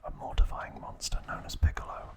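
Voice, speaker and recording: A man narrating ASMR trivia in a soft, breathy whisper, phrasing everything deliberately. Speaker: ASMR host.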